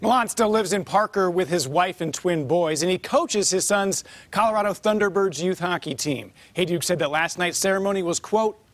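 A male news anchor with a high voice, reading a script crisply in a dry, close studio sound. His delivery is measured and even. Faint music plays behind him. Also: dry recording.

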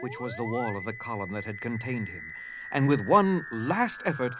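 Guy narrating an audio drama, mid pitch, dramatic reading, noticeable noise behind him. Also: expressive, normal speed.

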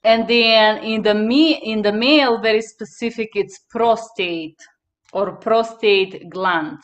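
Slow Bulgarian-native woman drilling terms. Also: fairly high pitch, lo-fi sound. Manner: slow, repeats each term twice. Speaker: interpreting instructor. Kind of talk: terminology drill on a video call. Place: small room indoors. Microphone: headset.

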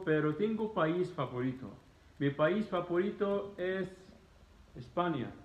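A man in his thirties from Egypt who speaks Spanish as a second language, talking casually as a travel vlogger and answering viewer questions from his living room. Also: high voice. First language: Arabic. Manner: animated, gesturing, Spanish learned as a second language. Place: living room at home, indoors. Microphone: phone camera at arm's length. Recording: tinny phone-like sound in an echoey room.

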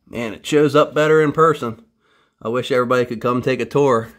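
A man's voice: mid-range voice, fairly quick. Chatty man showing off in his garage. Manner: chatty, wry self-deprecating jokes. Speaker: vintage three-wheeler restorer. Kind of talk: casual workshop show-and-tell. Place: garage workshop.

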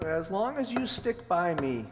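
Man playing anxious, animated fugitive father. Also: sits high, background noise. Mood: worried. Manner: troubled, halting. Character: anxious fugitive doctor posing as farmer, weary father. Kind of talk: old-time radio drama acting. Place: stage of a large hall. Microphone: stand microphone. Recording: echoey hall stage recording.

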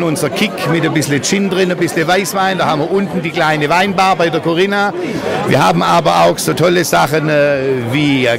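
Swabian host in his forties, upbeat and talkative, fairly high voice, noisy crowd around him. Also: gravelly. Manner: chatty, upbeat, many fillers. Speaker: wine village host. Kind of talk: casual interview. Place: crowded festival tent at night. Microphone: handheld mic. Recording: busy crowd chatter behind.

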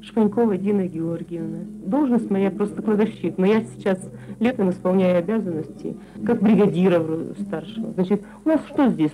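A woman worker being interviewed, talking unscripted in a plain colloquial way and repeating herself. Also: medium pitch. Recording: tinny narrow-band field tape.

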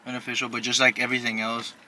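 A man speaks quickly in a very bright, forceful voice. His talk is casual and slangy, as among friends. He is inside a car with faint vehicle noise behind him. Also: medium pitch, completely flat delivery, poor audio. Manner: slangy, informal. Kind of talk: casual group chat.

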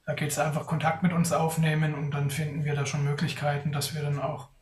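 A man's voice: fairly high pitch, monotone, a little fast, distant mic. A young man answering audience questions on a live video call, thoughtful, with many hesitations. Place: indoors in front of a sponsor backdrop. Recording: roomy, off-mic sound.

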